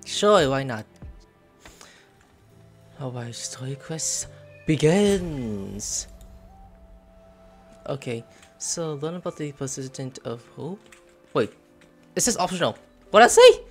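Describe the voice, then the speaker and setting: Lively young man streaming a game. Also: a little high. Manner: musing aloud, reacting to dialogue. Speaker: gaming streamer. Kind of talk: casual game playthrough commentary. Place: indoor room at home, webcam stream. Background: game music.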